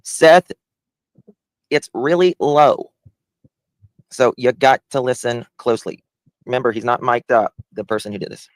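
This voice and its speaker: A man's voice: mid-range voice, unhurried, long pauses, dry recording, low-quality recording. A man giving casual livestream commentary on audio he plays, with a blunt manner.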